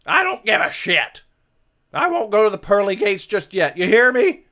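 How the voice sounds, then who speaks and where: A man voicing the ghost of a brash, crude young soldier, shouty and melodramatic, in a visual novel reading. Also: sits high, big pitch swings, normal speed, exaggerated voice. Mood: defiant, crude.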